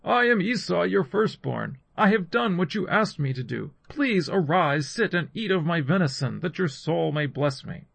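A man's voice: on the high side, lively intonation, moderate pace, creaky, few pauses, breathy edge, no room sound, voice pushed up, full character voice. A man voicing a dutiful, insistent younger son deceiving his father in a scripture story. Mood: eager, deceiving.

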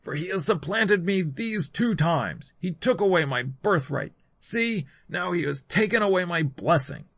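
Man acting bitter pleading son, high-pitched. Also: animated, a little slow, hardly any pauses, fairly bright tone, breathy edge, dry recording, exaggerated voice. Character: wronged elder son, a hunter, bitter and pleading.

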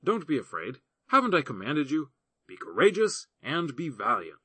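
A man's voice: on the high side, wildly swooping pitch, normal speed, bright, forceful tone, husky, no echo, exaggerated voice. A man reading the part of a scheming prince who firmly commands his servants. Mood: commanding. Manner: commanding, emphatic.

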